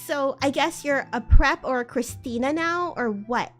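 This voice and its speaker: A woman's voice: high-pitched, unhurried, soft background music. A woman voicing a bratty, hot-tempered teenage goth girl with a melodramatic, indignant delivery, in a comic fan fiction reading. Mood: accusing, angry. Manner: shouty, indignant, overdramatic.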